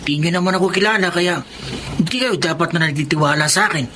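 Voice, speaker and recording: A man's voice: a little high, quick pace, noisy background, close to an everyday voice. An actor playing a humble, timid young man who talks in a meek, polite, hesitant way. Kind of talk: radio drama acting.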